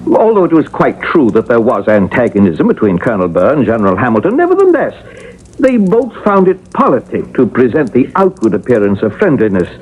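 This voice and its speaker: Casting: proud young male ghost, a formal and easily indignant tradesman, very expressive, vintage radio play. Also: mid-range voice, brisk, slightly rough. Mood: proud explaining. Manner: formal, old-fashioned, indignant. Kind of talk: radio drama acting. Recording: noisy, narrow-band vintage radio broadcast.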